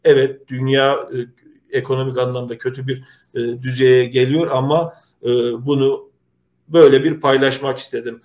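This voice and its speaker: A middle-aged man, hosting an online community show in an earnest, halting, polite way. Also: medium pitch, flat delivery, a little mellow. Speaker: host of a community video show. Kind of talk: informal presenting to an online audience.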